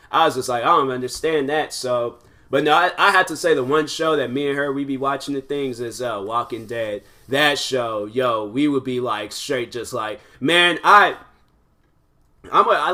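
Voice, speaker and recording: A man in his twenties hosting his own podcast, talking casually and rambling with lots of fillers. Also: mid-range voice. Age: 20s. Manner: rambling, animated, many fillers. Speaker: podcast host. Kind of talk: casual solo podcast chat.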